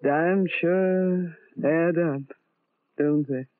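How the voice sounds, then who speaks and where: A woman's voice: lowish voice, fairly even intonation, drawn-out words. An actress playing a brassy, scheming carnival showgirl with slangy, streetwise talk in a radio drama. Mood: coy, flattering. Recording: tinny, hissy old radio recording.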